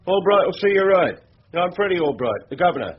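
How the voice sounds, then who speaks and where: An actor playing a jovial, avuncular middle-aged minicab boss in a radio drama, hearty and patronising. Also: male voice, high-pitched, big pitch swings, quick pace, breathy edge, exaggerated voice. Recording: dull, slightly hissy radio recording.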